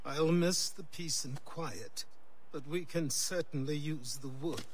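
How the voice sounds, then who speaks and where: An actor playing a reserved, weary older mentor in a video game, speaking in measured, terse phrases. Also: male voice, a little high, slightly rough. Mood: wistful, guarded. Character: weary, reserved old mentor of a young man. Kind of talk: video game cutscene acting. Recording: music behind the voice. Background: music.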